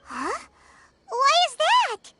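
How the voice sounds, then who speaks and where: Here is a tiny, inquisitive fairy companion from an anime game. The voice is extremely high and childlike, with bouncy, lively swings in pitch and prominent music underneath. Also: female voice, extremely slow, full character voice. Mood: puzzled, concerned. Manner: refers to self in third person, eager. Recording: music playing behind the voice.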